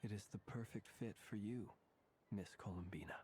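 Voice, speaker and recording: A man voicing a courtly, gallant gentleman in a video game, formal, measured and playfully courteous. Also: fairly low pitch, fairly even intonation, a little hiss or hum, natural voice.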